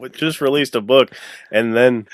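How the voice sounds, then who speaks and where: A man hosting a podcast in casual conversation, with halting, informal, rambling phrasing. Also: mid-range voice, no room sound. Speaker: podcast host.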